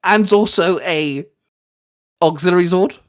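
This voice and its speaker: A man's voice: high-pitched, unhurried. A man co-hosting a casual fan chat, talking in an enthusiastic, rambling way. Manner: enthusiastic, rambling, occasionally swearing. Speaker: co-host.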